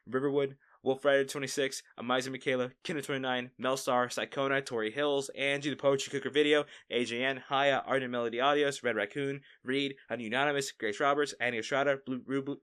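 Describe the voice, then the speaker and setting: A man hosting an audio channel, chatting casually to listeners in an animated, rambling way and stumbling over words. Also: medium pitch, smooth. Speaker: audio roleplay creator and host.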